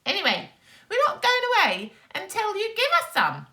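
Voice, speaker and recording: Rude, greedy little storybook animals, voiced by a woman, pitched high and brash. They exclaim and demand, with big swoops in the voice. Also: wildly swooping pitch, moderate pace, bright, forceful tone, distant mic, full character voice.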